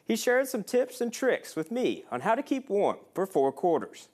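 A man reporting a local TV news story, narrating in an upbeat, lively way. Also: sits high, moderate pace. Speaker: field reporter.

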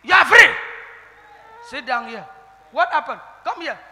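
A man with a Nigerian accent leading a deliverance session as a prophet, firing repeated questions and breaking into shouted decrees in a large church hall on a handheld mic. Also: very high-pitched, big pitch swings, measured pace, faint music behind. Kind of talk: deliverance prayer ministration. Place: large church hall indoors with a seated congregation. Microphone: handheld microphone. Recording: long reverb of a church hall.

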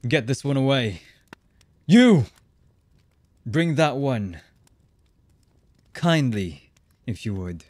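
A male voice actor playing a cold, menacing, commanding young anime villain in an ASMR roleplay, with a husky voice and a deliberate delivery. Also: medium pitch, animated, no room sound. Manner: slow, menacing, deliberate with long pauses.

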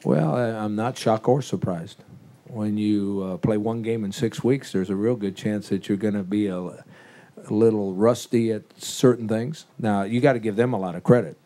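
A man, a head football coach, answering press questions after a game in a measured, blunt way, with fillers. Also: lowish voice, dark tone. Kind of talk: postgame press conference answers.

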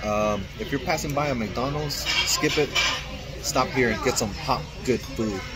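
A man's voice: medium pitch, unhurried. A man in his thirties filming a casual food vlog, chatty and upbeat as he narrates, recorded on a handheld camera in a small diner. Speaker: vlogger. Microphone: handheld camera at arm's length. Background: music playing.

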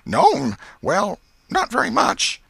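A man's voice: sits high, huge pitch swings, slow, vocal fry, fairly bright tone, husky, no room sound, voice pushed up, exaggerated voice. A man voicing a wary, mischievous country boy in an audiobook, speaking in folksy dialect. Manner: short folksy dialect answers.

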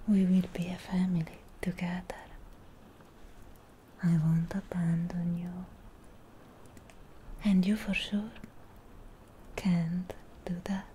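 Girl acting as a sly possessive witch, asmr roleplay, super slow breathy whisper, soft and dark, bit of background noise. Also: on the low side. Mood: possessive, soothing. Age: young adult.

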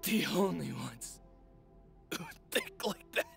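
An actor playing an earnest young male smith in a game cutscene, talking intensely and defiantly with a gravelly edge, over music. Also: sits high, big pitch swings, slow pace, fairly bright tone, exaggerated voice. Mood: pleading. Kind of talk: video game voice acting. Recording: game audio with music underneath.

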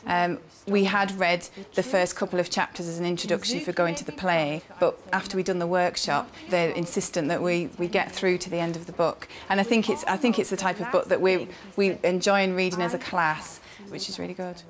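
A woman's voice: lowish voice, steady tone, fairly quick, hardly any pauses, a crowd in the background. A British woman, a primary school teacher, giving conversational interview answers.

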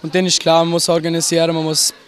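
Teen scout leader, Liechtenstein accent, flat even delivery, slightly high. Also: male voice, quick pace. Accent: Liechtenstein German. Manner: hesitant, loose run-on phrasing. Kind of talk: TV news interview. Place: indoor hall with children. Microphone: handheld broadcaster mic.

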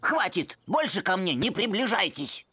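A woman voicing a sensible, skeptical, slightly bossy puppy boy in a children's cartoon, in a measured know-it-all manner. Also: medium pitch, big pitch swings, fairly bright tone, slight background noise. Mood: irritated.

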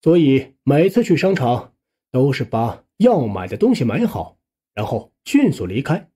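Male storyteller for audiobook narration, very animated intonation, dark tone, measured pace. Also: medium pitch, slightly rough. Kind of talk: scripted first-person story narration.

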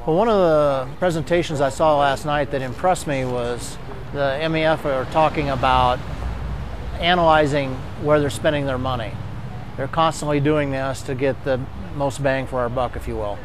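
An older man speaks plainly and thoughtfully in a mid-pitched voice. He is outdoors by a street, with clear traffic and city noise around him. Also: moderate pace. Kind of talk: street interview.

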